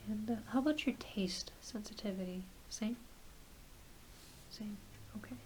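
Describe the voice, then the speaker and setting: A woman playing a gentle, reassuring doctor in an ASMR exam roleplay, speaking softly and hesitantly, often half-whispering. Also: mid-range voice, unhurried, natural voice. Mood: calm, reassuring. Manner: soft, half-whispered, hesitant, apologetic bedside talk. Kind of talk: soft-spoken ASMR medical exam roleplay. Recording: faint steady hiss behind close voice.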